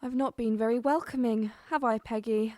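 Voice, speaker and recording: A woman's voice: fairly high pitch, fairly even intonation, moderate pace, a little mellow, no room sound, studio quality, lightly acted. A woman playing a remorseful, war-weary figure in an audio play. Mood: apologetic, weary.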